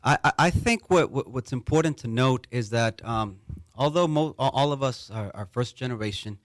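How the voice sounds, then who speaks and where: A man from Mexico speaks in an earnest, steady way at a middle pitch. He repeats a word now and then as he gathers a thought. The recording is close and dry. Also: normal speed, no room sound. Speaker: panel guest. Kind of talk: earnest interview talk.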